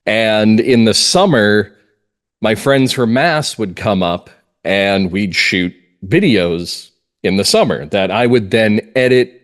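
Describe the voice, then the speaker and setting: Low-voiced middle-aged man recounting things. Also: expressive, slow. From New England, United States. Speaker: interview guest, playwright and actor. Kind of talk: conversational interview.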